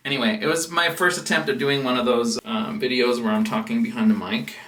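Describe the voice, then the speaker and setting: An American man tells a story casually to his viewers, wandering and pausing as he goes. His medium-pitched voice sounds set back from the microphone in a reverberant room. There is a little noise behind him. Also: fairly even intonation, a bit bright. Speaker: house music producer and DJ hosting a vlog. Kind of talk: casual chat, personal storytelling. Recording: roomy, distant mic with background noise.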